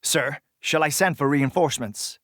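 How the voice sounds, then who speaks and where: An actor playing a respectful, eager soldier in a video game, earnest and deferential toward superiors. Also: male voice, on the high side, normal speed, a bit bright, no echo, clean studio sound, lightly acted. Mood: anxious, respectful. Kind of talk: English video game voice acting.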